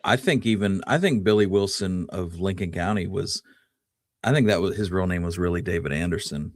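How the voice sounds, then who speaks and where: A man co-hosting a talk show, telling history conversationally and pausing now and then to recall details. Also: sits low, dry recording, studio quality. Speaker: podcast co-host.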